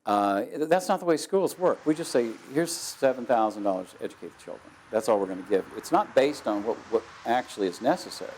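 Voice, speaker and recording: A man in a documentary interview, explaining in a plain-spoken way with pauses between phrases. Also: mid-range voice, animated, darkish tone, slight background noise. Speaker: interviewed speaker.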